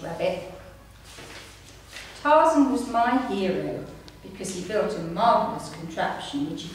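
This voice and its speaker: Woman in her sixties, reading a memoir aloud, measured storyteller, heavy room echo, distant microphone. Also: mid-range voice, a little mellow. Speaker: amateur writer reading her own story. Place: indoor room at a community centre, seated. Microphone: distant camera microphone. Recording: echoey room, distant mic, faint noise.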